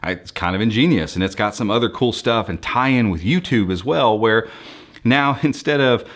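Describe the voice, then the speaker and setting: A man's voice: lowish voice, expressive, normal speed, fairly bright tone. An American man presenting for a tech channel, explaining things to viewers in an enthusiastic, conversational, unscripted way.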